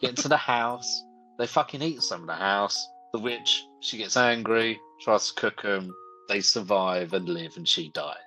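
A man's voice: lowish voice, measured pace, pushed and bright, faint music behind, low-quality recording. A man co-hosting a podcast, telling stories in casual comic banter with wry jokes and swearing. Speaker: podcast co-host.

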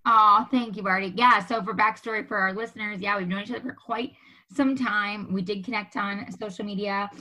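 Bright-voiced woman hosting a podcast. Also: mid-range voice, fairly even intonation, a little fast. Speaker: podcast host. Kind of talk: conversational interviewing.

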